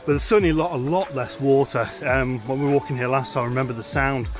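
A man's voice: mid-range voice, steady tone, fast talker. A man in his forties from the UK, a landscape photographer vlogging outdoors in a wooded glen, talking casually with lots of fillers and restarts into a camera held at arm's length. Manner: chatty, rambling with many fillers and restarts. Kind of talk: casual vlog narration. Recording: with music playing underneath.

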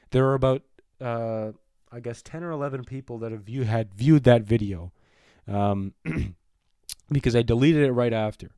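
Young male vlogger, voice going hoarse, rambly, dry close sound. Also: medium pitch, darkish tone, dry recording. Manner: rambling, frequent fillers and throat clearing. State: losing his voice. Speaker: wrestling news vlogger. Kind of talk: casual news vlog.

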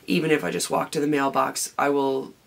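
Hoarse gravelly woman, chatty vlogger. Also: rumbling low, flat delivery, brisk. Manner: rambling, chatty, many ums and restarts. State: hoarse, raspy voice. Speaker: vlogger and knitting podcaster. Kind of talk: casual daily vlog chat. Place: home craft room with shelves, indoors.

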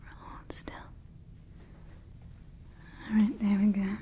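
A woman voicing a scheming female evil scientist who calls herself Mother, in a slow, soft, conspiratorial whisper for ASMR roleplay on a close microphone. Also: mid-range voice, little pitch movement, dull audio, a little hiss or hum, close to an everyday voice. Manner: slow, intimate, conspiratorial ranting. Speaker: ASMR creator.

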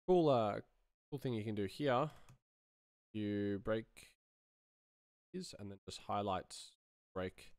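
Slow, gravelly man, laid-back. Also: on the low side, expressive, a little mellow, dry recording, clean studio sound. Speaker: sound designer streaming his work. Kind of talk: casual livestream commentary. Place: small room indoors. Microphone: close studio microphone.

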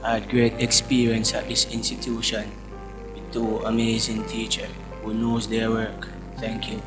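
A young Jamaican man, a trainee, giving a steady testimonial into a handheld mic indoors. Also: lowish voice, a little slow, poor audio. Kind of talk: short testimonial. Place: indoor room, seated. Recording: background music.

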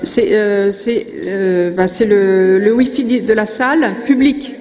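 A woman's voice: mid-range voice, unhurried, a little mellow. A middle-aged woman giving a conference talk at a lectern, hesitant with many fillers and restarts. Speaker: conference speaker. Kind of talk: conference presentation. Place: conference hall stage at a lectern. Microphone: lectern microphone. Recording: long hall reverb.

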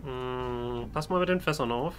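A man's voice: medium pitch, a little slow, over music. A man giving playfully ironic, in-character commentary as a let's play host. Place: voice-over on game footage.

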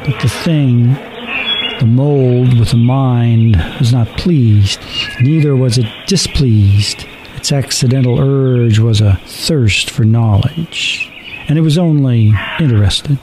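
A man narrating a horror radio play in a deliberate, dramatic storytelling manner. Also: medium pitch, background noise.

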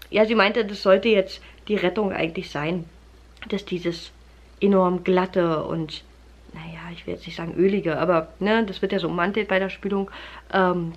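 A woman's voice: on the low side, highs cut off. Chatty woman with a stuffy nose. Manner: chatty, many fillers, addresses viewers warmly as friends. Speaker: family vlogger. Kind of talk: casual vlog chat to camera. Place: living room at home, indoors. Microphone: camera held close at arm's length.

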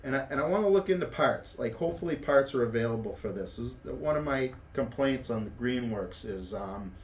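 An echoey indoor recording with faint noise carries a middle-aged North American man. He reviews a tool casually, in a plain-spoken, offhand way, at a medium pitch. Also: lively intonation, brisk. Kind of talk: casual hands-on product review. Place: indoor wooden workshop.

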